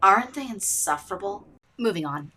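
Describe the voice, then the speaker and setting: A woman hosting a podcast, narrating in a wry, deadpan scripted way. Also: mid-range voice, fairly quick, slightly rough. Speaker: podcast host. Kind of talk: scripted narration with dry asides.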